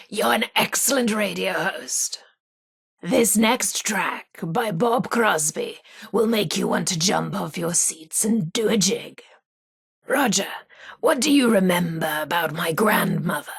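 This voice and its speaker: A woman's voice: lowish voice, gravelly, fairly bright tone, slightly rough, full character voice. A woman voicing a cheery, coy, naive retro radio co-host in a video game, genteel and flirtatious, with a British accent. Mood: puzzled, teasing. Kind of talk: vintage radio host patter, scripted game acting.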